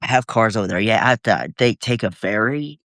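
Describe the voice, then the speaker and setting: A man with a husky voice, nasal from a cold, chatting hesitantly as a guest on a live video from home. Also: fairly low pitch, animated, a bit bright, no echo, studio quality. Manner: halting, hesitant, full of fillers. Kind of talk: casual live-video chat.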